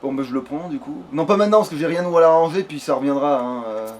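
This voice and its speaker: A man's voice: a little high, fast talker, a little mellow. A man giving casual, rambling gameplay commentary as a let's play host, swearing freely and talking crudely.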